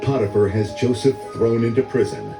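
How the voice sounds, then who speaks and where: An older man reading a children's story in a measured, dramatic storytelling manner. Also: medium pitch, gravelly. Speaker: read-along story narrator. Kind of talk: scripted children's story narration. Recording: roomy echo, music under voice. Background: orchestral music.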